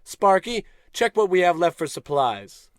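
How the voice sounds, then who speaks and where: Man playing a pompous, animated space captain. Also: high-pitched, measured pace, clear, resonant tone, no room sound, studio quality. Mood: pompous command. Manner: pompous, declamatory, commanding. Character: pompous, gung-ho American space captain hero. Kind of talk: parody of old radio adventure acting.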